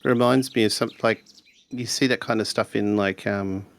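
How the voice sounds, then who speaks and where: A man's voice: fairly low pitch, fairly even intonation, normal speed, gravelly. A man roleplaying a laid-back, skeptical schoolboy in a 1980s sci-fi mystery tabletop game, talking in a dry, hesitant way. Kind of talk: improvised in-character tabletop roleplay.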